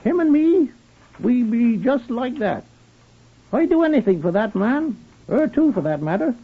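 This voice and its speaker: An actor playing a garrulous, simple country handyman with a rural English accent and a husky voice, in an old radio drama. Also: very high voice, very animated, unhurried, exaggerated voice. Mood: chatty. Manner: rambling, chuckling, deferential. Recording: tinny, band-limited old radio recording.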